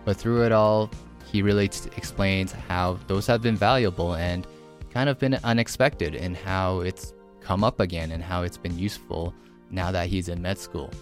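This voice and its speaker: A man with a very low voice hosts the event and introduces the guest in an upbeat tone. Faint music plays in the background. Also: fairly even intonation, moderate pace. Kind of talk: scripted introduction.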